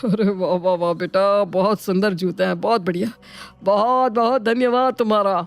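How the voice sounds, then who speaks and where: A woman voicing an elderly, grateful uncle in an animated moral story, speaking affectionately and repeating words effusively. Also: medium pitch, normal speed, soft background music, lightly acted. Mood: delighted. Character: elderly, grateful, easily flattered village uncle.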